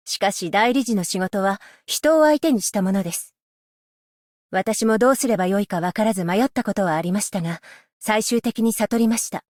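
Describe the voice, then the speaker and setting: A voice actress playing a stern, dutiful female magistrate-scholar, speaking in a formal, measured and politely stern way for a game's voice lines. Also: mid-range voice, a little mellow, no echo, clean studio sound, natural voice. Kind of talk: game character voice lines. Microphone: close studio mic, dry.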